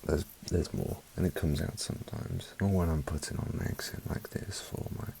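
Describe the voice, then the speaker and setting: A man from South Africa with a mixed British accent, giving an unhurried, soft-spoken ASMR album review in a half-whispered voice with wry asides, close to the mic. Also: extremely low pitch, sing-song, gravelly, slight background noise.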